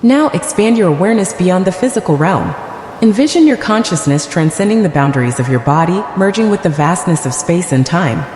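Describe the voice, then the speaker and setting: A woman leading a scripted guided meditation in a gentle, soothing, deliberate manner. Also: lowish voice, lively intonation, echoey room, in a large hall, a little hiss or hum.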